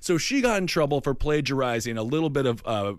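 A man with a Midwestern accent co-hosting a casual political comedy chat, riffing on jokes and quick tangents. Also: medium pitch, big pitch swings, no echo, studio quality. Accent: Midwestern American. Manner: joking, riffing, fast tangents. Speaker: podcast co-host.

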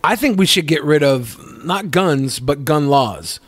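High-pitched, animated man joking crudely. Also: unhurried, no room sound. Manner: flippant, crude, provocative. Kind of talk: casual joking banter.

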